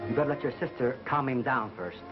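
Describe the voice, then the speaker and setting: A man's voice: mid-range voice, fast, music in the background, lightly acted. An actor playing a wry, wisecracking studio man in a 1930s film acting style. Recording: distant muffled 1930s film soundtrack.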